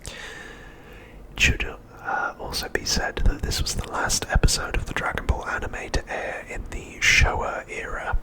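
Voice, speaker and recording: A man whispering a scripted recap as ASMR narration, softly and with deliberate pauses, close to the microphone. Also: low-quality recording.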